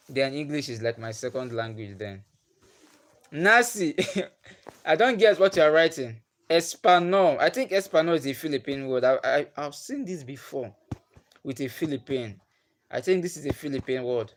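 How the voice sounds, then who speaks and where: A young Nigerian man, a native Igbo speaker, casually chatting with viewers on a livestream and asking them questions. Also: on the high side. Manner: chatty, laughs often, asks viewers questions. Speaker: livestream host. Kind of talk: casual chat with live viewers. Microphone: phone or webcam on a livestream.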